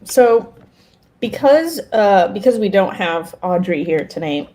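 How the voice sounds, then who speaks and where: A woman's voice: medium pitch, animated, unhurried, dark tone, slight background noise. A woman co-hosting a podcast, chatting in a casual, conversational way. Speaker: podcast co-host.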